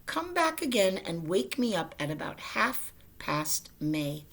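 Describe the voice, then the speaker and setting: A woman's voice: mid-range voice, sing-song, measured pace, fairly bright tone, a little hiss or hum. A woman voicing a grumpy, sleepy, reluctant toad in a children's storybook. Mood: weary, stubborn. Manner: grumbling, reluctant. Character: grumpy, sleepy male toad who wants bed. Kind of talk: children's storybook character reading.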